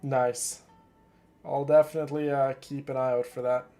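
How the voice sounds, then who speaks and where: A man hosting a game livestream in a mid-pitched, creaky voice. He chats casually and gushes fondly at his viewers, with soft music playing behind him. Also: little pitch movement, moderate pace. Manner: effusive, affectionate toward viewers. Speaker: livestream host.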